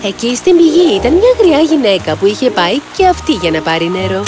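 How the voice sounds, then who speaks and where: A woman narrating a scripted children's fairy tale as an expressive storyteller. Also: on the high side, over music.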